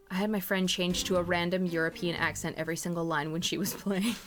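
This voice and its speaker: A young woman streaming a game, chatting casually, giggling and swearing freely. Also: lowish voice. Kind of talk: casual chat.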